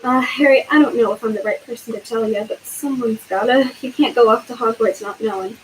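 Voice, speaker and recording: A woman voicing a big, gruff but kindly male giant groundskeeper with a homely dialect, read aloud as a storybook. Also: fairly high pitch, a little fast, slight background noise, close to an everyday voice, poor audio. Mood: worried.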